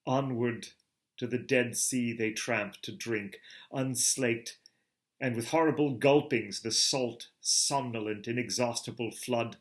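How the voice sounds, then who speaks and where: A middle-aged man giving a theatrical reading of a novel, moving from hushed murmurs to booming calls. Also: slow. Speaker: reader of a novel. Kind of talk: dramatic literary reading.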